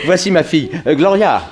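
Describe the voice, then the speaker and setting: A man's voice: high voice, expressive, normal speed, background noise. An actor playing a courteous, jovial middle-aged businessman and proud father in a 1960s French radio drama, with chuckling, effusive politeness.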